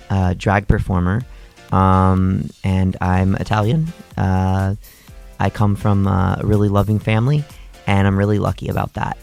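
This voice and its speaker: Gravelly low-voiced man, chatty podcast host. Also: a little mellow. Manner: chatty, many fillers and restarts. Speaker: podcast co-host, comedian and drag performer. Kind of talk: casual chat.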